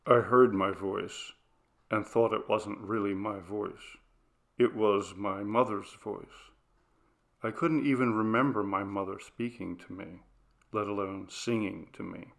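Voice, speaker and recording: A man's voice: fairly low pitch, steady tone, measured pace, gravelly. A man narrating a scripted ghost story as an audiobook reader.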